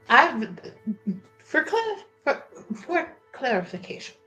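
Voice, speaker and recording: A woman playing a tabletop roleplaying game, halting and informal as she narrates actions and improvises dialogue. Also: high-pitched, big pitch swings, pushed and bright, faint music behind. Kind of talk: casual narration of her character's actions mixed with improvised dialogue.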